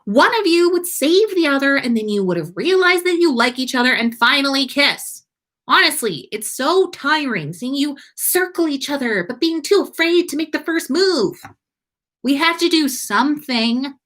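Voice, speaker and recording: A woman's voice: high-pitched, lively intonation, moderate pace, fairly bright tone, voice pushed up. A woman voicing an exasperated, meddling young matchmaker in a story reading, with an emphatic manner.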